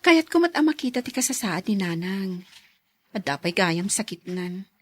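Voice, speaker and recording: A woman playing a gentle, supportive wife in a radio drama, reassuring and sympathetic in a breathy voice. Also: mid-range voice, very animated, moderate pace. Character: supportive, gentle young wife, kindly and encouraging. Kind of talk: Ilocano radio drama acting.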